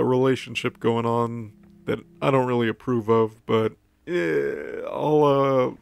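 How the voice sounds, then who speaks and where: A man retells a story casually, speaking as one of its characters for comic effect. His mid-pitched voice is full of vocal fry, with a laid-back, crude, halting delivery and music underneath. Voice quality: creaky. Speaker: recap narrator.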